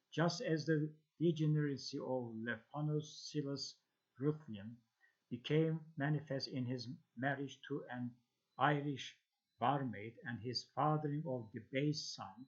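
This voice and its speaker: Guy reading out an academic lecture, trips on long words, kinda muffled lo-fi recording. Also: mid-range voice. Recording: dull lo-fi audio.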